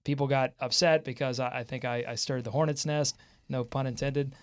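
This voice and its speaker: A man hosting a sports talk show in an excitable, jokey way. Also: mid-range voice, a little fast, smooth. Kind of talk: lively sports talk with co-hosts.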